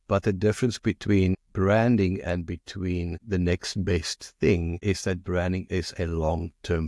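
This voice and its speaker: Interview guest: man, South African accent, deep, halting and repetitive, dry studio sound. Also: darkish tone, no room sound. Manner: repeats and restarts words often, thinking aloud. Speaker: marketing agency founder, guest. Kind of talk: casual interview talk.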